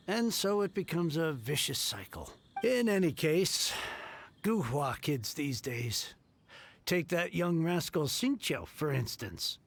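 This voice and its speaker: A man playing an excitable, effusive merchant heir fallen on hard times in a video game, with a creaky voice and formal, earnest speech. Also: on the high side, heavily acted. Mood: grumbling, then grateful. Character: excitable, grateful, down-on-his-luck merchant heir. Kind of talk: animated game character acting.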